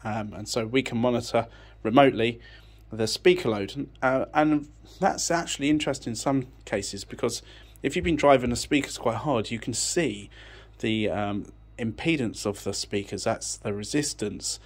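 A man's voice: mid-range voice, normal speed, hardly any pauses, breathy edge. A man giving an informal technical explanation in a matter-of-fact, unscripted way, thinking as he goes. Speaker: audio technician presenting a tech channel. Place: indoors, beside an amplifier rack.

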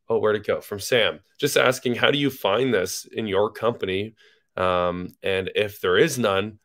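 A young man hosting a video call from a room with a bookshelf, attentive and quick to affirm. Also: on the low side. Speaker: host.

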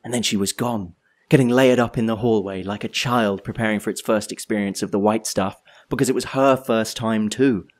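A man's voice: lowish voice, fairly even intonation, moderate pace, natural voice. An actor playing a wistful, solitary drifter who tells his story in a reflective, wry monologue for an audio play.

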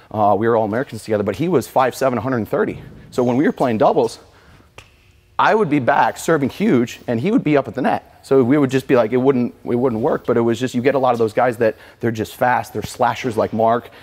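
A man in his twenties, a tennis player, giving rambling and animated answers full of restarts in a post-match interview in an indoor tennis hall. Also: medium pitch. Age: 20s.

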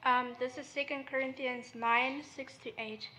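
A woman's voice: high voice, steady tone, unhurried, bright, forceful tone. A teenage girl reading a Bible passage aloud in a church hall, from a distance from the microphone. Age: teens. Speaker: scripture reader. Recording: distant mic, faint room noise.

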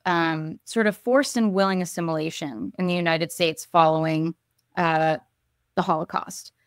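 A young woman speaks on a video call with a lowish voice for a woman and a dry, close sound. She answers at length in an earnest way, pausing often with ums and starting phrases over. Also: smooth, no echo. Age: young adult. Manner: earnest, with frequent ums and restarts. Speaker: guest, advocate and essayist. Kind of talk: thoughtful interview answer. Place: home room.

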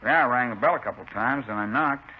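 A man's voice: medium pitch, fast talker, natural voice. A man playing a curt, irritated murder suspect, defensive under questioning in a 1950s radio drama. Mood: guarded. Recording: muffled 1950s radio recording, faint noise.

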